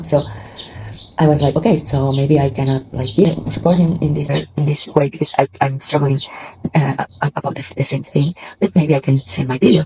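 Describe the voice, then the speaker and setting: A woman's voice: sits low, vocal fry, warm tone, a little hiss or hum, poor audio. A woman with a Mexican Spanish accent, a tarot reader talking casually to her viewers, hesitant and tired, with many fillers and restarts. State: tired, has not slept. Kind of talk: casual chat to camera.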